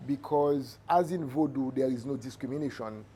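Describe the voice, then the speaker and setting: A middle-aged man with a Haitian accent giving reflective interview answers as a Vodou priest, measured and halting as he searches for words. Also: mid-range voice, dark tone. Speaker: Vodou priest and cultural entrepreneur. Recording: noticeable background ambient noise.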